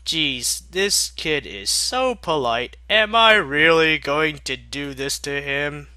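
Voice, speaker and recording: A man's voice: on the high side, expressive, slow pace, sharp tone, smooth, no room sound, studio quality, full character voice. Man voicing a smug scheming lady inventor. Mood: sly.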